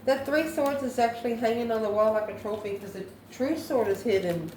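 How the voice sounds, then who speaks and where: A woman's voice: fairly high pitch, a little fast, a little mellow. A woman doing an improvised tarot card reading, musing aloud and answering her own questions. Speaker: tarot reader, channel host. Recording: echoey room, faint background noise.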